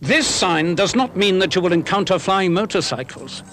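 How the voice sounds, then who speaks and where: A man's voice: high-pitched, lively intonation, moderate pace, a bit bright. A man giving scripted narration for an old public information film. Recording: old film soundtrack, faint noise.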